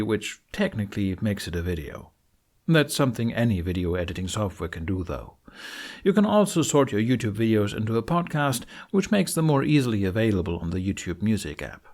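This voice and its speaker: A man presenting a scripted tutorial in an informal way, with wry asides. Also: mid-range voice.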